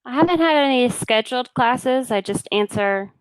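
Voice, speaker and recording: A woman tutoring English over a video call, with a smooth, clear voice. She chats in an easygoing way, full of casual fillers. The sound is dry and close. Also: a little high, expressive, normal speed, dry recording, low-quality recording. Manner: easygoing and chatty, many fillers like yeah and you know. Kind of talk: casual conversation practice.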